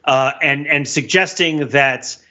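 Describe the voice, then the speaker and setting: A man with a bright, forward voice, pitched a little high. He talks as a podcast co-host, riffing playfully on films in casual chat. The audio is slightly dull and lo-fi. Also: takes it slowly. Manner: laughs often while talking, playful riffing. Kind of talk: casual film discussion.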